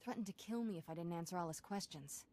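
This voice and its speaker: An actress playing a spirited, wry young Jedi apprentice in a video game. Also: female voice, fairly low pitch, fast, warm tone, close to an everyday voice. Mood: urgent.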